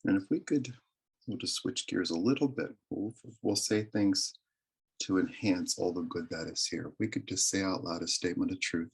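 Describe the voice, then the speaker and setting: A man leading a guided meditation with prayer and affirmations, speaking in a soothing, deliberate, reverent way. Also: deep voice, creaky, breathy edge. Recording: live recording.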